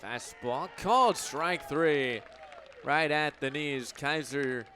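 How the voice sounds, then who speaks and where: Male radio baseball announcer, slightly high register, measured pace, clipped calls that build on big plays, faint ballpark ambience behind. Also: sharp tone, some chatter behind, slight background noise. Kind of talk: live sports play-by-play commentary.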